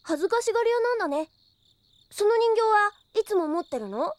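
A woman playing a cheeky, excitable, chatty young boy in an audio drama, eager and boyish. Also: extremely high pitch, a little fast, no echo. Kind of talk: Japanese audio drama acting.

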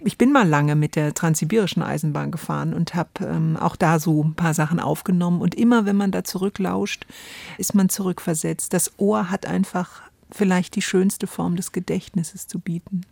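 Low, mellow-voiced woman, dry studio sound. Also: moderate pace, gravelly, no room sound. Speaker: radio show host. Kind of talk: reflective two-person conversation about radio drama.

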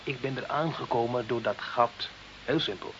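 An actor playing a roguish, jovial parishioner in a radio drama, talking in a breezy, colloquial and cheeky way. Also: male voice, medium pitch, normal speed, a bit bright, close to an everyday voice. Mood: cheeky, evasive. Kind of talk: Dutch radio drama acting. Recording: old muffled radio recording, noisy hiss.

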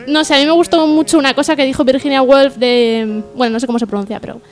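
A woman's voice: high-pitched, steady tone, fast talker, faint music behind. A woman presenting an informal radio monologue in a hesitant, self-deprecating but earnest way. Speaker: section presenter on a humour radio show.

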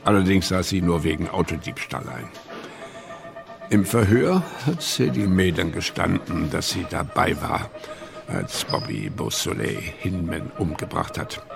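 A detective w very gravelly voice, sober account, music behind. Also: male voice, low-pitched, sing-song, exaggerated voice. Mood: sober, matter-of-fact. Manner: matter-of-fact, measured recounting. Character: sober male police detective giving his account. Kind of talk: German docudrama radio play testimony.

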